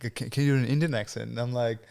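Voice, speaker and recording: Australian male podcast host, clear smooth voice, chatty and affirming. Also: mid-range voice, steady tone, racing, darkish tone. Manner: chatty, affirming with frequent mm-hmms. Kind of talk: casual interview conversation.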